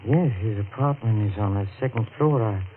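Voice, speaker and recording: An actor playing an anguished, self-loathing man guilty of murder, halting and melodramatic, in the style of 1940s radio horror. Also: fairly low pitch, lightly acted. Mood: haunted, confessing. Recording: tinny, noisy vintage radio recording.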